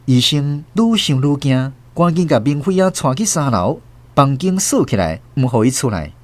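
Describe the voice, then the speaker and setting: A male narrator with a Taiwanese Hokkien accent tells a radio drama story. His medium-pitched voice moves with lively, animated intonation. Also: normal speed, no room sound. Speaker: host and narrator.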